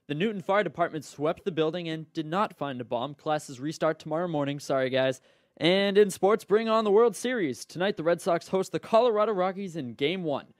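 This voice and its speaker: A man's voice: high-pitched, no room sound, studio quality. A man presenting radio news, sports updates and sports talk as an anchor and host. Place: radio studio.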